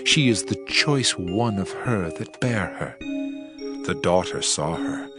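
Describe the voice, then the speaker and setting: A man's voice: sits low, lively intonation, slow pace. A man playing a tender, adoring bridegroom in a dramatized scripture reading, solemn and poetic, with music behind him. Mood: solemn adoration. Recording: loud music under the voice.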